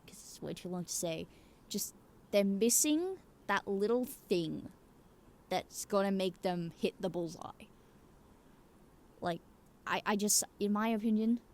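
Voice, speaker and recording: A woman giving casual, rambling opinion commentary in an emphatic way, swearing casually and checking in with the listener. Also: mid-range voice. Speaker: YouTuber giving game opinions.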